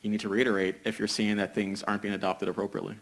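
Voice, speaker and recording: A young man from a business school speaking on a panel, measured, with many "uh" hesitations. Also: lowish voice, flat delivery. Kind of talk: panel discussion.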